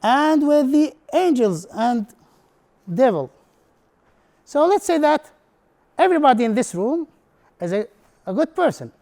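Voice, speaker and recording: A man with a high-pitched voice gives a presentation in English, which is not his first language; his first language is Arabic. He talks as an instructor to an audience in a room and keeps putting questions to them. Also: very animated, unhurried, gravelly, pauses often, a little mellow. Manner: non-native English, asks the audience questions. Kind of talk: prepared talk to an audience.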